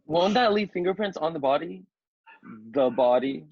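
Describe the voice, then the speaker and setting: A man playing a deadpan, morbidly witty housemate with a husky voice in a comedy table read. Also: fairly high pitch, moderate pace, no echo, highs cut off.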